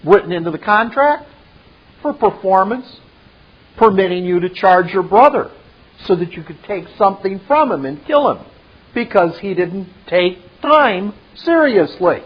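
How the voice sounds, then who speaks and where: A man presenting a retelling of a film scene with his own commentary, in emphatic, halting, dramatic phrasing. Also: high-pitched, lively intonation, highs cut off.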